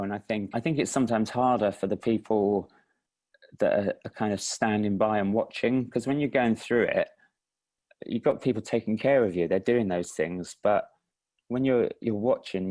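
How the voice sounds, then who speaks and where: A man with an Estuary English accent, speaking in a fairly low voice. He chats easily as an interview guest, self-deprecating and given to restarts. The sound is dry and close. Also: dry recording. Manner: relaxed, self-deprecating, with frequent restarts and laughs. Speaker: indie filmmaker and teacher, guest. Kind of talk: casual interview chat.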